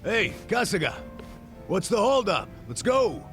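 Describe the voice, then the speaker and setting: An American man acting a gruff, regretful, middle-aged ex-cop in a video game cutscene, blunt and streetwise with a gravelly voice. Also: sits high, sing-song, unhurried, a bit bright, soft background music, heavily acted. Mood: wry, loosened up.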